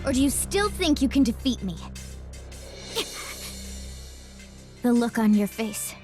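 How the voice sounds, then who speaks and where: A woman's voice: a little high, animated, a little fast, a little mellow, faint music behind, close to an everyday voice. A woman playing a bitter, vengeful young ninja girl, scornful and intense, in an anime game dub.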